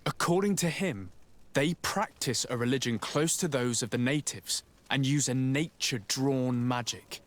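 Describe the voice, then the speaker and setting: A man's voice: mid-range voice, a little slow, close to an everyday voice. A man voicing a composed, diplomatic emissary in a video game, polite, formal and probing.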